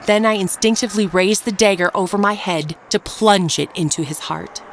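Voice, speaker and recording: A woman playing a ruthless, unrepentant ageless murderess, narrating her tale in a measured, dramatic audio drama style. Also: medium pitch, steady tone, a little hiss or hum, lightly acted. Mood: cold, gloating. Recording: narration over prominent background music. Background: music.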